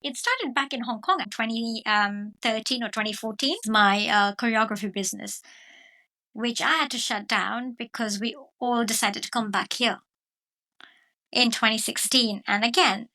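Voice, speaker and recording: A woman's voice: on the high side, moderate pace, bright, forceful tone, poor audio. A middle-aged woman from Mayotte telling her own story conversationally as an interview guest. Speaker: podcast guest, dance teacher.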